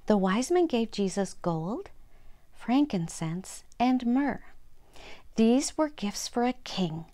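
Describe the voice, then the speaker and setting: An older woman telling Bible stories for children in short, simple sentences, pausing to explain. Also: fairly high pitch, big pitch swings, dark tone. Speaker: storyteller and host of a children's story program.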